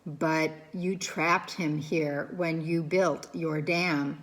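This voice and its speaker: A calm storybook robot, played by a woman reading aloud, speaking slowly and formally. She is polite and helpful, pitched low, with even, deliberate phrasing. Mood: calm, measured. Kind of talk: children's storybook read-aloud.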